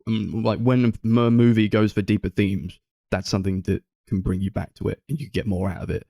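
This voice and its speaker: A young man with a low-pitched, mellow voice talks casually as a film podcast co-host. He feels his way through his points hesitantly, and the sound is close and dry. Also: little pitch movement, brisk, vocal fry, dry recording.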